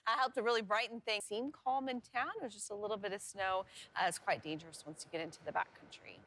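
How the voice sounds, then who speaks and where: Woman, weather presenter, mid-range pitch, conversational reporting, slight background noise. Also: quick pace, fairly bright tone. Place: TV news studio.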